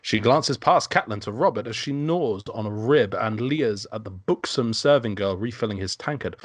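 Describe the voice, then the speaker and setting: A man's voice: fairly low pitch, expressive, moderate pace, no room sound, clean studio sound. A man narrating stage directions from a script at an amateur table read.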